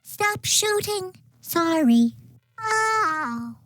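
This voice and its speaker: A woman voicing a childlike, polite robot gun turret from a video game, soft, innocent and sweetly menacing. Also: extremely high pitch, very animated, drawn-out words, no echo, heavily acted. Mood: meek, pained. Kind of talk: short video game voice lines.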